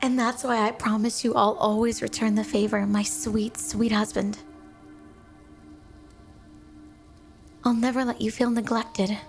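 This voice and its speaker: Yandere snow woman, female performer: high, sweet, adoring, possessive; close ASMR roleplay over music. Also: darkish tone. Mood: devoted, loving.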